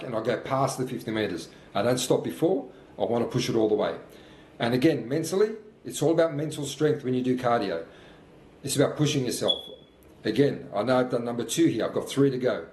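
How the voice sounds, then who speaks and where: A man's voice: mid-range voice, a little mellow. A man of about fifty talks over footage of his own workout, coaching in a plain, encouraging way. His voice sits in a middle register. The sound has a noticeable roomy echo. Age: 50s. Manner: motivational, talks through his own thoughts. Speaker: fitness coach.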